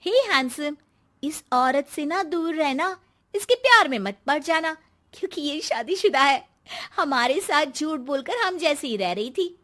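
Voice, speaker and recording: A woman voicing a catty, taunting young college student in an animated moral story. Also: sits high, a little slow, heavily acted.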